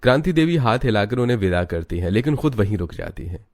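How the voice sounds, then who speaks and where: A man narrates a family drama in a theatrical storytelling style. His voice sits fairly low, and music plays softly behind him. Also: expressive, fast. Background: background music.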